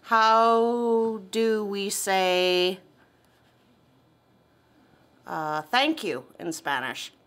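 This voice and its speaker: A woman's voice: medium pitch, extremely slow, pauses often, a bit bright. A young adult woman chatting playfully and casually with a small child, coaxing and prompting with simple questions like a mother.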